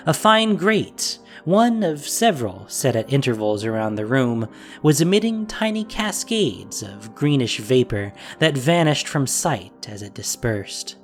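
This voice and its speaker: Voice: medium pitch, very animated, measured pace. Audio drama narrator over faint music. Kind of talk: scripted dramatic story narration.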